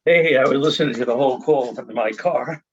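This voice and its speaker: A middle-aged or older American man joining a call as a salesperson, delivering a pitch in an emphatic, deliberate way. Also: husky. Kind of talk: rehearsed sales pitch in conversation. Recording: dull, band-limited call audio.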